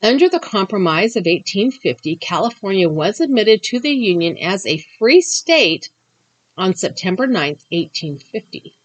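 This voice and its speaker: A woman's voice: mid-range voice, big pitch swings, moderate pace. Scratchy-voiced woman hosting a craft chat. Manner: chatty and explanatory, with occasional ums. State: slightly scratchy voice after a cough. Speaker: crafting and true crime channel host. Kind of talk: crafting tutorial then read-out history narration.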